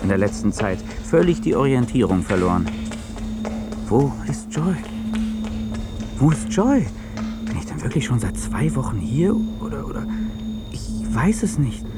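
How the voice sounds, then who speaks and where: An actor playing a stressed, disoriented businessman in a radio drama, halting and agitated, sometimes breaking into whispers. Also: medium pitch, very animated. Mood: confused, panicky. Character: disoriented, stressed businessman lost in anonymous corridors. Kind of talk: radio drama acting, narration and inner monologue. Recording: loud music under the voice. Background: music.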